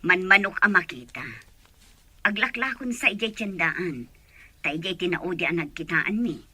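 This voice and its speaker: A woman's voice: on the low side, moderate pace, bright, forceful tone, breathy edge. A woman acting a questioning middle-aged mother with a Filipino accent in an Ilocano audio drama. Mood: confiding.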